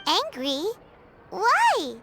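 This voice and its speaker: A woman voicing a tiny, cheeky, childlike female companion sprite in a video game, squeaky, curious and full of exclamation. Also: wildly swooping pitch, drawn-out words, heavily acted. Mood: surprised, curious. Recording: loud music behind.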